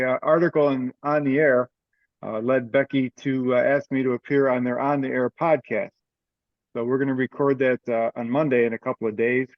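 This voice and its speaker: A man's voice: medium pitch, no room sound. Man chairing a meeting, slightly muffled. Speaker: meeting chair of an amateur radio club group. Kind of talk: informal online meeting update. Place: online video call. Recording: slightly dull video-call audio.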